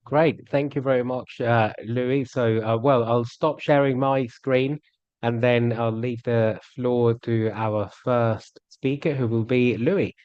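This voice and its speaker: A man's voice: medium pitch, fairly even intonation, takes it slowly, a little mellow, smooth, no echo. A man hosts an online panel over a video call and keeps stopping to fill gaps with small hesitations. His voice comes through dry and a little dull. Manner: hesitant, many fillers. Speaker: webinar moderator.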